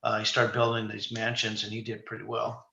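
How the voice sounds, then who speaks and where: A man's voice: lowish voice, monotone, fairly quick, gravelly, bright, forceful tone, reverberant, highs cut off, slight background noise. A man in his sixties chatting casually, opinionated and talking in long runs. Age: 60s. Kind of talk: casual chat.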